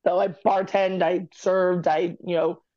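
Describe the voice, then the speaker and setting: Woman guest on a podcast, candid and chatty, talks fast, muffled lo-fi sound. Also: lowish voice, flat delivery. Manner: candid, chatty, quick-talking. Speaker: podcast guest, former college basketball player. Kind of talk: casual interview chat.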